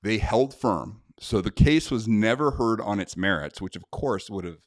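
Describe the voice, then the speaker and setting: Low-voiced American man, blunt and indignant. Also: animated, moderate pace, dry recording. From United States. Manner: blunt, indignant, conversational with many fillers. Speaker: self-defense instructor. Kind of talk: casual talk to camera, reading a letter aloud.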